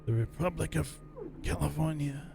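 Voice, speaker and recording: A man's voice: on the high side, normal speed, vocal fry, noisy background. A man giving casual, laid-back gameplay commentary, musing to himself as he plays. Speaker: let's play gamer commentating.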